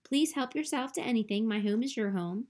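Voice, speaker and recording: Woman doing a squeaky excitable little skunk lady, super friendly and chatty, storybook voice. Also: a little fast, close to an everyday voice. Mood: excited. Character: friendly chatty female skunk, small and hospitable.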